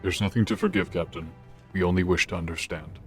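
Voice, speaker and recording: A man's voice: low-pitched, fairly even intonation, brisk, faint music behind, natural voice. A man playing a towering, lordly immortal warrior commander in a fantasy audio drama, formal and patient. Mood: patient, questioning.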